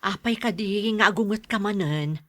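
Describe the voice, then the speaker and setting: A woman's voice: mid-range voice, normal speed, no room sound, close to an everyday voice. A woman with a Filipino Ilocano accent playing a patient, long-suffering middle-aged mother in a radio serial, pleading and soothing. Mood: pleading, upset. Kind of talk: radio serial drama acting.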